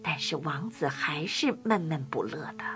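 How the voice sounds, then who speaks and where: Expressive woman narrating a storybook. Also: low-pitched, normal speed. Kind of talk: scripted children's storybook narration. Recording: clear music bed. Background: music.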